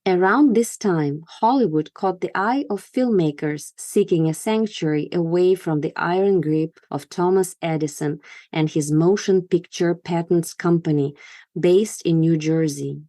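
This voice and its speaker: A woman's voice: fairly low pitch, unhurried, mellow, no echo, clean studio sound. A woman hosting a podcast, reading scripted narration. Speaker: podcast host.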